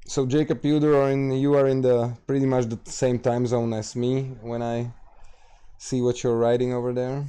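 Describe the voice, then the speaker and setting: A man from Slovakia with a Slovak accent, hosting a late-night gaming livestream and answering chat questions in a halting, casual way full of fillers. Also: mid-range voice, little pitch movement, dark tone, clean tone. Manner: halting, many fillers and restarts, reads out chat. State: tired, streaming late at night.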